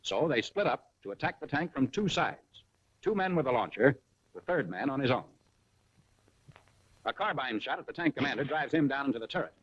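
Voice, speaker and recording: A man's voice: mid-range voice, expressive, fairly quick, fairly bright tone, breathy edge, dry recording, highs cut off. An American man narrating a 1940s military training film from a script, in a punchy, colloquial style full of wartime slang, on a vintage film soundtrack.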